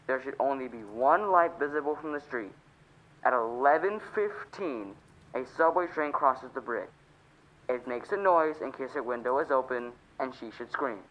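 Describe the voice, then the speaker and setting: Through a muffled, lo-fi line comes a man's medium-pitched voice acted as a cold crime boss in a radio play. He is businesslike and methodical, giving terse orders. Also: lightly acted. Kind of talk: suspense radio play acting. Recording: dull muffled telephone-line sound.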